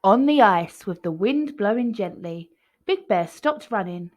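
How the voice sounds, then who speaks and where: A woman storyteller reading a children's story aloud in an expressive way. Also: medium pitch, unhurried, highs cut off.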